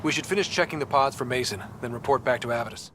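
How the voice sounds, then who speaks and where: A man acts out a young human pathfinder leading a space expedition in a video game. He is steady and determined, with a medium-pitched male voice. There is clear ambient noise behind him. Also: fast, lightly acted. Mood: focused. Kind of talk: video game cutscene acting.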